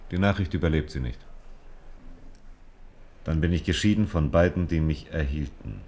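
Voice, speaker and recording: A very deep-voiced older man, worn down and doubting, speaks with grave restraint in a radio play. Faint noise and ambience sit behind his voice. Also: moderate pace, darkish tone. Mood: grieving, resigned. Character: bereaved, weary older father, bitterly doubting. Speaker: actor. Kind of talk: German radio play acting.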